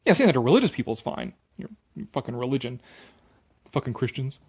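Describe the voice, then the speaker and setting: A man's voice: medium pitch, big pitch swings, fast talker, darkish tone, husky. A Canadian man giving casual, opinionated commentary on an article he reads aloud, sarcastic, exasperated and given to swearing.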